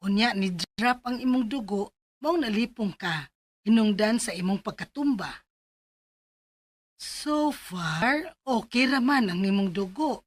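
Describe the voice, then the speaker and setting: A calm woman doctor in a Bisaya radio drama, with a Cebuano accent and a mid-pitched voice. She explains and advises in a measured, reassuring way. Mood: calm, advising.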